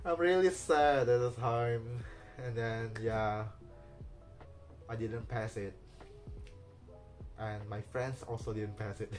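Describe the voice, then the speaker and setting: A man whose first language is Indonesian, talking casually in halting English with many fillers, restarts and small nervous laughs. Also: mid-range voice. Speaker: vlogger. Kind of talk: casual personal vlog talk.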